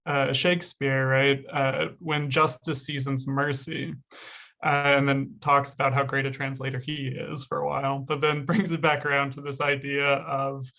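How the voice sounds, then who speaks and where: A man's voice: medium pitch, level tone, moderate pace, pushed and bright. A man in the audience asking a lecturer an earnest, hedging question over a video call. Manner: earnest, hedging, frequent fillers. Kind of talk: academic Q&A question.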